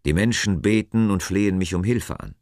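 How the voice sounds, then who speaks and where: A man's voice: sits low, normal speed, a little mellow, no echo, studio quality, voice pushed up. A man voicing God as a stern, commanding deity in a Bible story, speaking solemnly and emphatically. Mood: solemn, resolute.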